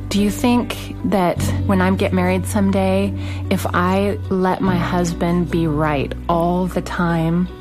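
A woman's voice: lowish voice, little pitch movement, slow, over music. A woman from California telling personal stories in a reflective way. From California, United States. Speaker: interviewee. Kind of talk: personal storytelling.

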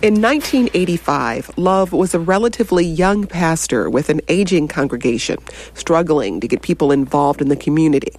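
A narrator reading a scripted documentary narration with lively intonation. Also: medium pitch, brisk, hardly any pauses, slight background noise.

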